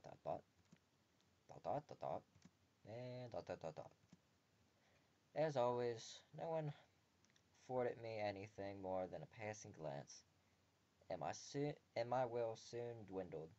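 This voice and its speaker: A man reading a visual novel aloud and breaking off for crude, joking asides, sometimes muttered quietly. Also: lowish voice, dull audio.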